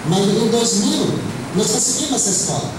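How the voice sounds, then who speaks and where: A woman's voice: medium pitch, lively intonation. Middle-aged woman in a very echoey hall. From Mato Grosso do Sul, Brazil. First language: Terena. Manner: halting, with frequent pauses and restarts. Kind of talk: round-table talk. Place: large hall at a conference. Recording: echoey hall, mic at a distance, background noise.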